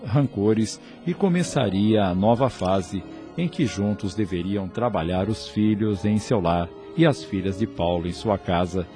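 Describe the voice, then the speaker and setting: Lively low-voiced Brazilian radio drama narrator, male. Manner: formal, theatrical announcer delivery. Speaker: narrator and announcer.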